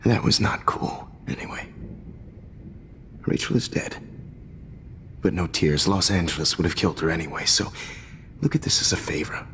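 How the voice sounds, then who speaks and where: A man's voice: low-pitched, lively intonation, moderate pace, long pauses, a bit bright, in a large hall, a little hiss or hum. An actor playing a smug, condescending and menacing male teacher in a video game, with a theatrical, mocking manner. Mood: mocking, cruel.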